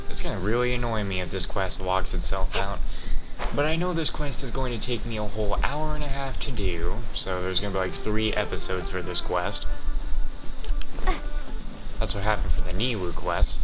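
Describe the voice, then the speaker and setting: Male gamer host: slightly low voice, casual commentary, game music behind. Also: moderate pace, rough recording. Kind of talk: casual let's play commentary.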